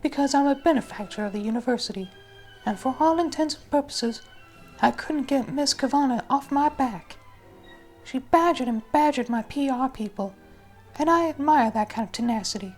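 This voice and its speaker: A woman's voice: high voice, moderate pace, darkish tone, soft background music, natural voice. A woman voicing an arrogant, enigmatic wealthy businessman in a formal, suggestive manner, as in a dramatic audiobook reading. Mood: self-assured.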